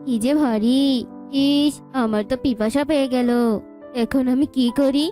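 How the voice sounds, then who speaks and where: A woman's voice: high voice, fairly even intonation, unhurried, mellow, soft background music. A woman voicing a greedy, scheming crow villain in a cartoon, boastful and grumbling.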